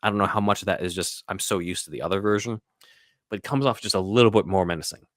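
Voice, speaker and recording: Deep-voiced man hosting a film podcast. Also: fast, no room sound, clean studio sound. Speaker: podcast host. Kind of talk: casual scene-by-scene film commentary.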